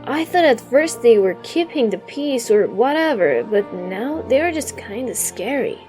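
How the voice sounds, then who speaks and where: A woman's voice: on the high side, animated, a little slow, a little mellow, soft background music. A woman voicing a caring female snow fox who runs a shelter, casual and concerned, in a comic dub.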